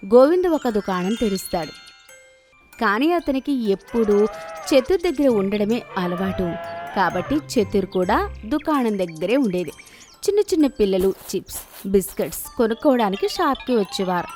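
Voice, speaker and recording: Woman narrating stories over music. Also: mid-range voice. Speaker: story narrator. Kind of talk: scripted narration of children's moral stories. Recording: clear music bed behind narration.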